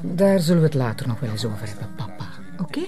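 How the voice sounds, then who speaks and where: A woman's voice: extremely low pitch, big pitch swings, fast talker, over music. An actress playing a busy mother of small children, coaxing and chiding with a Flemish accent in naturalistic television drama dialogue.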